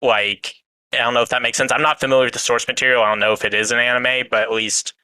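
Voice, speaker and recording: Casual male podcast guest, very bright crisp voice, close and dry, hedges and rambles through explanations. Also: medium pitch, fairly even intonation, quick pace, no room sound. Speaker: guest reviewer on a fan podcast. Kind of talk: casual discussion.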